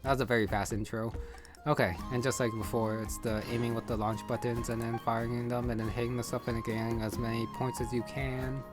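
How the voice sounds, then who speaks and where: A man narrates his video game playthrough in a casual, mid-pitched voice. He rambles and hesitates, thinking aloud, with game music playing behind him. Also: flat delivery, brisk. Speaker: gaming video creator.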